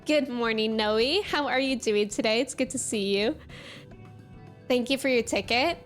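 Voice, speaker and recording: A woman's voice: on the high side, normal speed, faint music behind. A woman hosting a game livestream, chatting casually in a playful, giggly manner. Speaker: game streamer and host.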